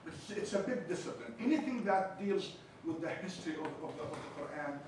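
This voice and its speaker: A man from Palestine with a thick Arabic accent lectures as a chaplain, dropping teasing jokes between points. His voice sits a little high. The mic is some way off, so he comes through a booming, echoey room with clear background noise. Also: lively intonation, a little fast, darkish tone, distant mic. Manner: jokey, teasing asides between explanations. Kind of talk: seminar lecture.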